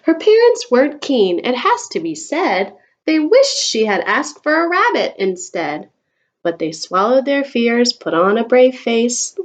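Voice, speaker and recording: A woman reading a picture book aloud to a young child, expressive and coaxing, in the manner of a teacher. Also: on the high side, measured pace, a little hiss or hum. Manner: expressive, coaxing talk aimed at small children.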